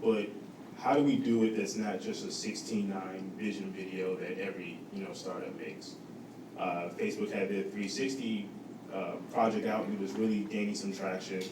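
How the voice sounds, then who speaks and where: Low-voiced man in an echoey, noisy room. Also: level tone, normal speed, recorded from a distance. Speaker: guest speaker. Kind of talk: conversational explanation at a panel talk. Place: indoor event room with audience.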